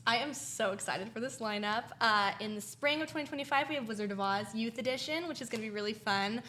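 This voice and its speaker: A woman's voice: a little high, fast, bright, forceful tone, slight background noise. A young woman, enthusiastic and chatty, answering questions as an interview guest in casual talk. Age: young adult. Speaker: guest, youth theater director.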